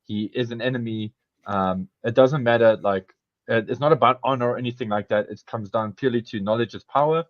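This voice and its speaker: A man's voice: mid-range voice, fairly quick, dry recording. Rambling man, dull dry audio. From South Africa. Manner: rambling, self-correcting, laughs often. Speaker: podcast host. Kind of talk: casual podcast chat.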